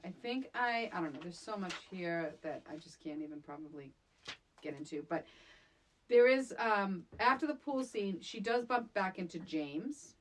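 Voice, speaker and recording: A woman's voice: fairly low pitch, animated, moderate pace, low-quality recording. A woman co-hosting a podcast, recapping a film in casual, sarcastic, comedic chat. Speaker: podcast co-host.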